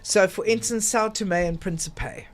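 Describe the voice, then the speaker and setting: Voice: mid-range voice, unhurried. A talk show co-host explains travel routes in a casual, chatty way that rambles a little.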